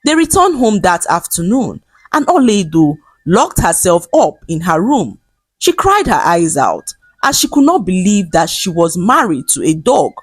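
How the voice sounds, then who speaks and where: Expressive woman narrating folktales, slightly husky. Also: on the low side, a little slow, no room sound. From Nigeria. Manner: dramatic, expressive storytelling. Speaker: storyteller.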